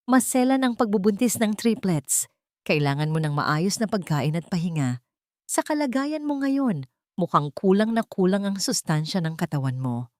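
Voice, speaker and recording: A woman's voice: mid-range voice, sing-song, moderate pace, a little mellow, dry recording, clean studio sound, natural voice. A woman storyteller voicing a serious, cautioning female doctor in a drama story. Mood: serious concern. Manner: grave, advising.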